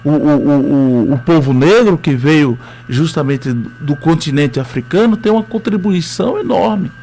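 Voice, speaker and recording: A man's voice: on the high side, animated, normal speed, darkish tone, breathy edge. A man with a Northeastern Brazilian accent, a history teacher telling his story as a radio interview guest, hesitant with many fillers.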